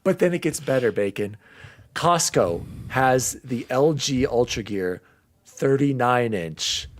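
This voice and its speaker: Animated, ranting male podcast host. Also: medium pitch, takes it slowly. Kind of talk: casual podcast chat.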